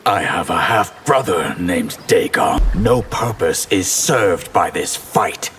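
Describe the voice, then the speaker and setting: A man's voice: low voice, expressive, slow pace, fairly bright tone, slight background noise, heavily acted. A man playing a grave, formal water mage in a fighting game, solemn and ominous. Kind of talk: fighting game character acting.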